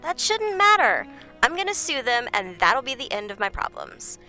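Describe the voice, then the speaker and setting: A woman's voice: sits high, very animated, normal speed, sharp tone, soft background music, heavily acted. An actress playing a whiny, self-pitying, defensive woman with a melodramatic, complaining manner in an audio drama. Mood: indignant, self-pitying.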